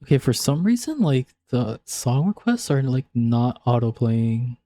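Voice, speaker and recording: A man's voice: medium pitch, sing-song, measured pace, warm tone. A man chatting casually as a gaming livestreamer, peppering his talk with "like". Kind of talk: casual gaming stream chat. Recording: music playing behind. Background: background music.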